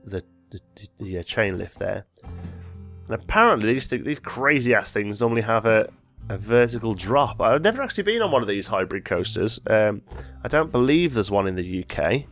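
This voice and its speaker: A man's voice: lowish voice, expressive, moderate pace, fairly bright tone, faint music behind. Sniffly man musing over gameplay. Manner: thinks aloud, muttering and self-correcting while building. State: slight head cold, sniffly. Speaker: let's play host. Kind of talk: casual gameplay commentary.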